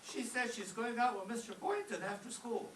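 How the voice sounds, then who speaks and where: An actor playing an eager, cheeky, gossipy teenage high school boy in an old-time radio comedy, recorded from a distance in a roomy space. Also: sing-song, moderate pace, fairly bright tone. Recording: distant roomy stage pickup, faint noise.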